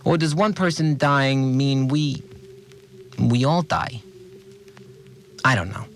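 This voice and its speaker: An actor playing an obsessive, resentful cleaner confiding in a dramatic audio diary, agitated and brooding. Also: male voice, mid-range voice, slow, faint music behind. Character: obsessive, unhinged male cleaner, seething with murderous resentment. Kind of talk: dramatic first-person audio diary monologue.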